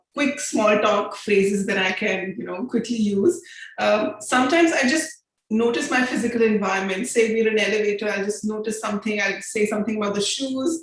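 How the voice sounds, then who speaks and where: A woman moderating a virtual panel, asking questions hesitantly with many fillers over a video call. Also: mid-range voice. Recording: very echoey room.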